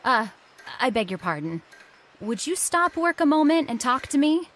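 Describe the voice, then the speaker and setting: A woman's voice: sits high, very animated, normal speed, a bit bright, heavily acted. A woman playing a distraught, insistent young heiress, dramatic and disbelieving, in an old-time style radio horror drama. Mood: pleading, insistent. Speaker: actor in audio horror drama.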